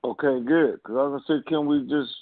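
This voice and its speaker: Gravelly-voiced man on a dull call line. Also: medium pitch, steady tone, quick pace, no room sound. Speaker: conference call host. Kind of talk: casual conference call Q&A. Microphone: phone conference line.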